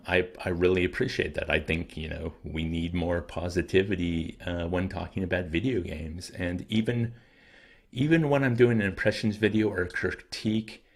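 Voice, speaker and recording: A man hosting his own channel, talking casually and thoughtfully to his viewers, often pausing to weigh his words, his throat a little dry and scratchy. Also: deep voice. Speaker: channel host.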